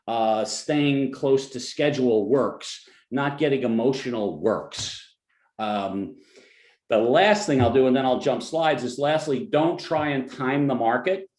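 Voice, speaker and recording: A man with a New Jersey accent presents to clients over a webinar, talking in an easygoing way with joking asides and frequent restarts. His voice sits at an ordinary middle pitch. The recording has a roomy echo. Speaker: financial advisor.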